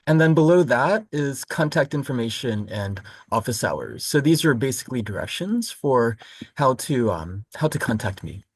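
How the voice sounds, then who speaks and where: A man with a mid-pitched voice gives a recorded course overview. He explains things in a relaxed way, pausing on ums and correcting himself. The sound is dry and close, with no room echo. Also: breathy edge. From Florida, United States. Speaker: college literature instructor.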